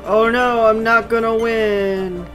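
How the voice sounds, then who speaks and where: High-voiced man commentating on a game. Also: steady tone, takes it slowly, a bit bright. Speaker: gamer commentating on playthrough. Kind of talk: casual gameplay commentary. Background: game music.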